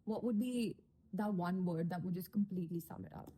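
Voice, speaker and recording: A woman's voice: on the low side, brisk, warm tone, husky, low-quality recording. A woman hosting a podcast panel, speaking in an informal, conversational and reflective way. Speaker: podcast host.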